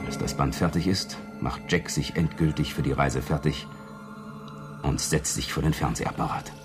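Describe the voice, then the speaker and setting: A man's voice: very deep voice, steady tone, moderate pace. An actor playing a businesslike man in a science fiction radio drama, clipped and matter-of-fact. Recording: voice under loud electronic music.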